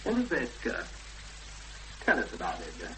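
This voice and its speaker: A man playing a calm, probing interviewer in a 1970s radio drama, asking measured, formal questions. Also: deep voice, exaggerated voice. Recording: noisy, hissy 1970s radio broadcast recording.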